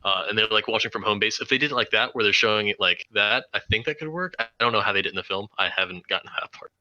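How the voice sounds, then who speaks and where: Very bright, lowish-voiced man chatting haltingly. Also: no room sound, lo-fi sound. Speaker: film podcast co-host. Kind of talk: casual group chat.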